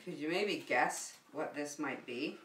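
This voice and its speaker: Very animated woman teaching young children, echoey. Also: sits low, measured pace, a little hiss or hum. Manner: animated, sing-song, encouraging, simple phrasing for small children. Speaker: preschool circle time teacher and host. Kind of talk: children's lesson with craft demonstration. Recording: echoey room, distant microphone.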